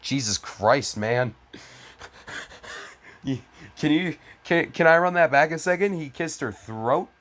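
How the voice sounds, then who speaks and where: A man's voice: a little high, animated, slow, long pauses, a bit bright. A man giving a mocking dramatic reading, breaking off into crude, exasperated, sarcastic commentary. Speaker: comedic fan fiction reader.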